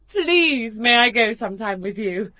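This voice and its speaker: A woman's voice: on the high side, expressive, unhurried, pushed and bright, no room sound. An actress playing a passionate young woman in love in a radio drama, intimate and emotional as she speaks and narrates her romance. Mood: playful, eager. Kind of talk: radio drama acting with first-person narration.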